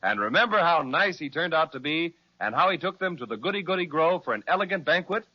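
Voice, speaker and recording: A man with a fairly high, lively voice narrates a children's serial. He talks to young listeners like a storyteller. The sound is slightly dull and lo-fi. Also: fast talker. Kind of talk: scripted children's story recap.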